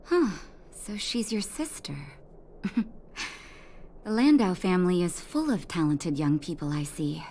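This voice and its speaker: An actress playing a composed, shrewd doctor in a video game, speaking in a measured, polite and probing way. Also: female voice, fairly low pitch, expressive, mellow. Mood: amused, composed. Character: composed, shrewd woman doctor leading an underground town. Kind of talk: video game character voice acting.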